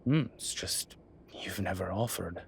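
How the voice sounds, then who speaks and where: Man voicing a weary low-voiced demon professor. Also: unhurried, vocal fry, slight background noise. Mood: wry, teasing. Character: weary, wry incubus demon professor, male. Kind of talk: intimate audio roleplay drama. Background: ambient sound effects.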